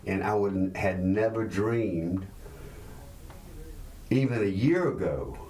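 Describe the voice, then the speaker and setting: A man, talking reflectively and haltingly in an interview. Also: low voice, animated, a little mellow. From South Carolina, United States. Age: late 60s. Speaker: musician being interviewed.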